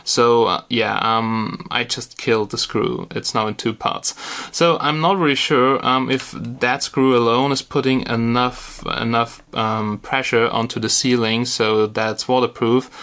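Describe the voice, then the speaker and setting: A man's voice: medium pitch, fairly bright tone. A man giving a casual hands-on product review in an informal, matter-of-fact way. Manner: informal, matter-of-fact, occasional mild swearing. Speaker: tech reviewer and presenter. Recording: clearly noisy location recording.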